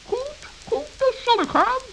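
A man voicing a small, kindly forest gnome who talks earnestly in a squeaky voice for a children's radio play. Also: expressive, a little slow, vocal fry, pushed and bright, exaggerated voice. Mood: resigned. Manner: earnest, musing aloud. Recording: hissy, crackly old audio recording.

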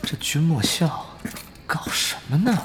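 An actor playing a young male gamer and undercover guild spy in an audio drama, animated and exclamatory, stammering when flustered. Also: a little high, a little slow, background noise. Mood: startled, exasperated. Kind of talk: Chinese audio drama acting with inner monologue.

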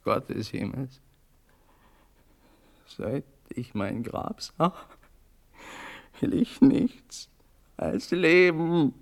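Slow, desperate young prince. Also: male voice, a little high, very animated, gravelly, full character voice. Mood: anguished, fervent. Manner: fervent, declamatory, pleading. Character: desperate young prince begging for his life. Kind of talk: classical German stage verse, radio drama.

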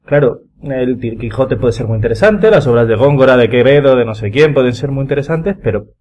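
Male podcast panelist, Spain accent: rapid, rambling, repetitive, informal conversation. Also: medium pitch, expressive, a little mellow. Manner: rambling, repeats himself. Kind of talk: casual chat.

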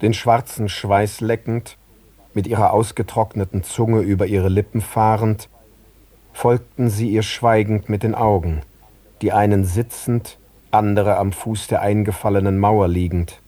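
An actor narrating a literary radio play as a sober, measured observer. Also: male voice, lowish voice, steady tone, dark tone, no room sound. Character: male narrator, sober observer recalling scenes in detail.

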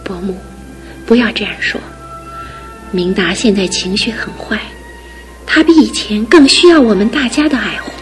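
An actress playing a tender, resolute young fiancée, speaking softly and near a whisper, in a radio drama with music behind. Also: female voice, medium pitch, moderate pace, long pauses, natural voice. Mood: gentle. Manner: soft, soothing, often near a whisper.

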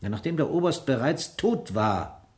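A man's voice: mid-range voice, sing-song, normal speed, a little mellow. A man acting in a radio drama as a sceptical, authoritative police inspector who questions in a dry, probing way. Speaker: actor playing a police inspector.

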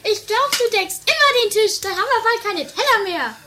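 A woman's voice: very high voice, animated, moderate pace, heavy background noise. A woman playing a bossy, quarrelsome member of a castle household in a comedy radio play, whiny and theatrical.

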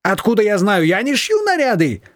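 Man voicing a stern older neighbor woman, high voice, curt manner, dry sound. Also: wildly swooping pitch, moderate pace, no echo.

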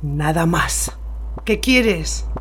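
A woman's voice: sits low, lively intonation, extremely slow, fairly bright tone, a little hiss or hum, exaggerated voice. An actress playing a defiant, weary young country woman, curt and scornful, in a radio drama. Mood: scornful, resigned.

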